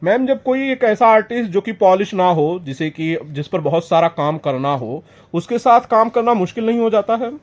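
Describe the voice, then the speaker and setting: A man's voice: high-pitched, expressive, normal speed, dull audio. A man working as a press interviewer, asking blunt, probing questions.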